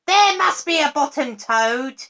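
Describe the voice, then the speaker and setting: A shrill, greedy old witch from a storybook, barking orders and stretching her words out slowly, as a woman puts her on. Her voice sits high and bright. The recording is dull and lo-fi. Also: expressive, heavily acted. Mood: commanding. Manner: shrill and commanding, with drawn-out words. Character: wicked cackling old female witch, greedy and scornful. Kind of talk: storybook reading.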